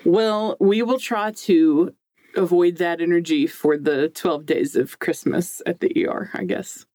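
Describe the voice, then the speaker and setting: A woman with a deep, low-range voice co-hosting a podcast in a casual, chatty, jokey way. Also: a little slow, dark tone, dry recording. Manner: informal, jokey, mildly sweary. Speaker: podcast co-host.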